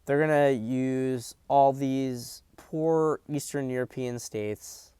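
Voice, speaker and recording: A man's voice: mid-range voice, darkish tone, smooth, no room sound. Blunt, profane male political commentator. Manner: blunt, profane, emphatic with repeated restarts. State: hiccups.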